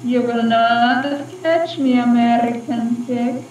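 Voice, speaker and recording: Heard through a distant, echoing recording, a female Russian spy ghost with a Russian accent. She draws her words out very slowly, purring and taunting like a scheming seductress in a radio drama. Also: fairly high pitch, a little mellow. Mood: indignant. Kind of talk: radio play acting. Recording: dull, echoey, distant, slightly noisy recording.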